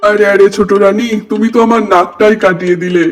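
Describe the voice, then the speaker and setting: A man's voice: sits high, fairly even intonation, fast talker, faint music behind, natural voice. A man voicing a fussy, exasperated but indulgent fairy-tale king in a theatrical children's cartoon. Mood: dismayed. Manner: theatrical, full of exclamations.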